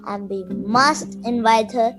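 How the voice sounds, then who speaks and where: A woman's voice: fairly high pitch, slow pace, over music. A woman acting a courtly, well-mannered count in a radio play, formal and polite.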